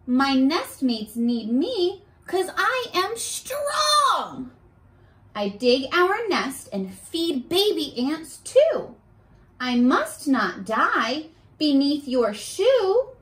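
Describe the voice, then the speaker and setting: A woman voicing a small, earnest male ant from a storybook, pleading with drawn-out emphasis. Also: high-pitched, very animated, fairly bright tone, full character voice. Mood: pleading, proud. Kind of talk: storybook read-aloud.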